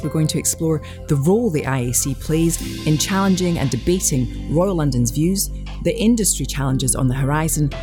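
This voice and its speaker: A woman hosts a podcast interview, putting friendly, upbeat scripted questions to her guest. Her voice sits very low for a woman. Faint music plays softly behind her. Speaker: podcast host.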